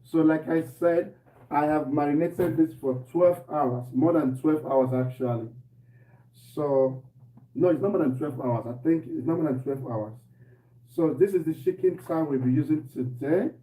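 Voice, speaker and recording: A man hosting a live cooking stream from his kitchen, talking casually to viewers with a slightly hoarse voice and repeating himself as he goes. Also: fairly high pitch, measured pace, far from the mic. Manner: relaxed, repeats himself, greets viewers by name. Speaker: cooking show host. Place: home kitchen. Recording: echoey room, voice off-mic, faint noise.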